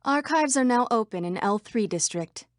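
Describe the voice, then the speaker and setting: A woman's voice: a little high, lively intonation, normal speed, no room sound, studio quality, natural voice. A woman voicing a female computer program citizen in a video game. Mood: formal, announcing.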